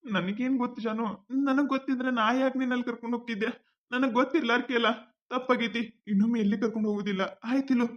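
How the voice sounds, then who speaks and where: A woman's voice: fairly high pitch, steady tone, rapid-fire, highs cut off, natural voice. A woman with a North Karnataka Kannada accent acting as a young man reassuring his girlfriend. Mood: apologetic. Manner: coaxing, reassuring. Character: young man boyfriend, devoted and insistent.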